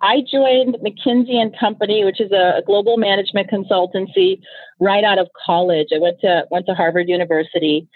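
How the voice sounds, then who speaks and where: A woman's voice: mid-range voice, fairly even intonation, fairly quick, no room sound. A woman in her thirties from Nigeria, whose first language is Igbo, answering interview questions in an earnest, reflective way with frequent restarts. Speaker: guest, company founder. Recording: tinny phone-line sound.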